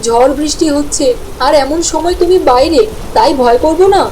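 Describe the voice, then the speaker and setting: High, tender, anxious village mother played by a woman. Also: fairly quick, darkish tone, slight background noise, natural voice, rough recording. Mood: fretful. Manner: tender, fretful. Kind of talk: cartoon voice acting.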